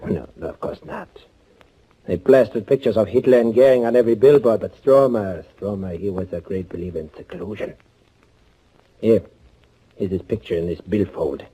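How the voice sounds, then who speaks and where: An actor playing a bitter young underground avenger in a 1940s radio thriller, sardonic and deliberate, with a husky voice. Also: male voice, lowish voice, mellow. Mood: bitter, intense. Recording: muffled 1940s radio recording.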